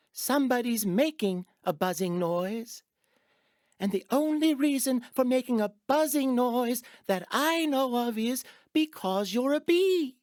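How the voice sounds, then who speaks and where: A woman voicing a naive, honey-loving toy bear in a children's audio drama, musing in a slow, childlike way. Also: fairly high pitch, a little mellow, dry recording, clean studio sound.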